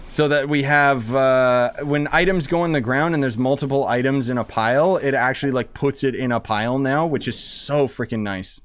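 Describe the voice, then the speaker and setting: A man's voice: medium pitch, normal speed, few pauses. A man giving casual gameplay commentary in a sarcastic, grumbling way, swearing mildly as he plays. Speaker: gaming streamer playing and commenting. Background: game music.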